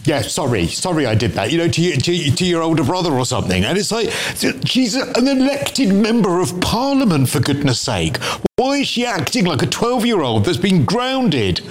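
A man's voice: a little high, sing-song, normal speed, hardly any pauses, slight background noise. A man on a discussion panel, ranting casually in an exasperated, opinionated way. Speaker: panel guest.

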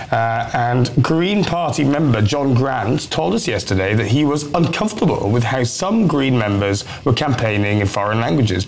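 A man presenting a live news show, joking and laughing as he reads viewer comments. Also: mid-range voice. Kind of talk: light-hearted live news chat.

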